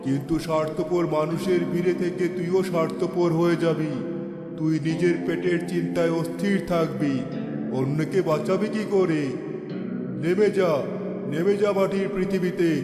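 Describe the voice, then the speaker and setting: A man's voice: fairly high pitch, fairly even intonation, moderate pace, close to an everyday voice. A man voicing a cruel, gloating cartoon wizard who taunts theatrically as he lays curses. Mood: menacing. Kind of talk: cartoon fairy-tale villain acting. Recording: echoey hall reverb, music behind.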